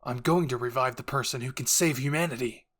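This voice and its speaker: A man's voice: medium pitch, a little fast, fairly bright tone, natural voice. A man voicing a stern, stoic military captain in an anime roleplay, curt and commanding. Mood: cold, resolute.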